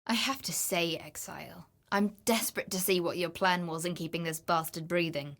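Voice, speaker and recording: A woman's voice: fairly low pitch, moderate pace, a bit bright. A woman playing a wry, greedy female explorer and treasure hunter in a fantasy video game, with a dry, teasing, conversational manner. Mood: wry, musing. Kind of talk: video game character voice lines.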